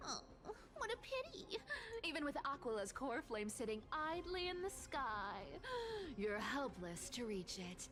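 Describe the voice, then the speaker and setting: A woman's voice: high-pitched, sing-song, a little slow. A woman voicing a playful, cocky cat thief in a video game, theatrically teasing with whispers and giggles. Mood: mocking, gloating. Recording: loud game music behind. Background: game music.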